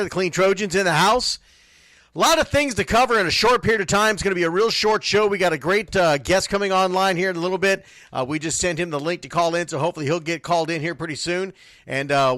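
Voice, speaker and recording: A man's voice: a little high, a bit bright, dry recording. Casual male radio host, close dry sound. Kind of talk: casual sports radio talk.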